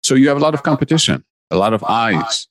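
A man's voice: medium pitch, sing-song, fast, fairly bright tone. A man, a guest investor answering interview questions earnestly in short listed phrases. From Poland.

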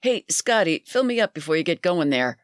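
A narrator voicing a loud, crude, pushy middle-aged barfly in a dry recording. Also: medium pitch, big pitch swings, fairly quick, no room sound. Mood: brash.

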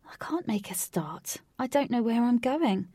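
A woman's voice: a little high, lively intonation, a little slow, dark tone, dry recording, clean studio sound, voice pushed up. A woman voicing an awkward, earnest, self-doubting young girl in a storybook reading. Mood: sad, unsure.

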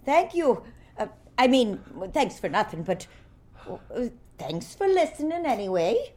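A woman's voice: fairly high pitch, big pitch swings, a little slow, darkish tone. A woman playing a grumpy older singing star in a comedy audio drama, flustered, snappish and begrudging. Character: grumpy, prickly older female singing legend.

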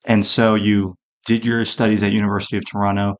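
A man's voice: on the low side, little pitch movement, a little fast. A man hosting an interview podcast, introducing his guest and asking questions. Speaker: podcast host.

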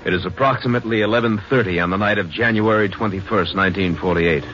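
Old radio narrator guy, lowish voice, flat matter-of-fact telling, music behind. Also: quick pace, highs cut off. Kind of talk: scripted radio drama narration.

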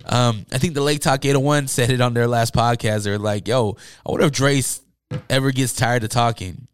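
A man's voice: medium pitch, fairly even intonation, brisk, clean tone, no room sound. Male podcast host, informal and slangy, enthusiastic music chat, voice wearing out from overuse, close dry recording. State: losing his voice from talking all day. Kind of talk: casual music talk podcast. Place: indoors, upstairs room.

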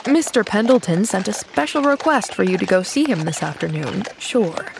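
A woman reading the part of a genial, teasing male doctor in an audiobook, brisk and kindly. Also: medium pitch, sing-song, gravelly, background noise. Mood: brisk, friendly. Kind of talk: audiobook character acting.

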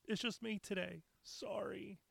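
A man hosting a solo podcast, his voice raspy as he gets over being sick, talking bluntly and with a lot of swearing. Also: high voice, fairly even intonation, a little slow, fairly bright tone, dry recording. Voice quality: raspy from recent illness. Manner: blunt, profane, impassioned. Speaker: podcast host.

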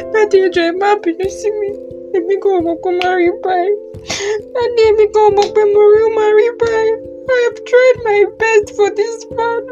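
High, impassioned Nigerian wife, acted by a woman. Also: dull audio. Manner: impassioned, pleading or cursing. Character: distraught, fiery wronged wife and young mother. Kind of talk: audio drama acting. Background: music.

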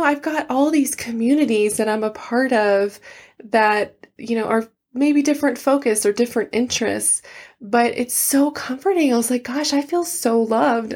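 Earnest woman podcast host, gently high voice. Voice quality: soft voice that is not loud. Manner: earnest, heartfelt, many fillers like "you know". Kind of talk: casual solo podcast talk.

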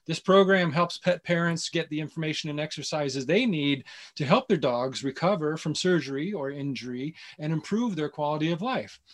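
A man opens the show with an upbeat, scripted introduction. His voice sits slightly high and he reads smoothly from his text. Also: lively intonation, moderate pace. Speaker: show host.

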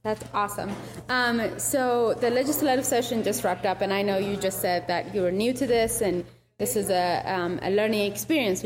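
A woman interviewing people at a retreat in an upbeat, encouraging way. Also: medium pitch. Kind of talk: casual short interviews. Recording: long reverb with clear music behind.

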